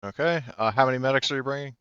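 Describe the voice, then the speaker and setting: A man's voice: medium pitch, racing, fairly bright tone, clear, resonant tone, dry recording, highs cut off. A man running a tabletop game as game master, explaining rules in a rambling way full of fillers.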